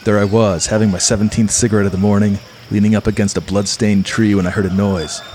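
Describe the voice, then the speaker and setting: An actor plays a macho, hard-boiled male mercenary telling his story in clipped pulp slang. His voice sits fairly low, with the swagger of a radio-play tough guy. A light bed of hiss and insects runs behind it. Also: level tone, fast talker, lightly acted. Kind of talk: pulp radio-play first-person narration.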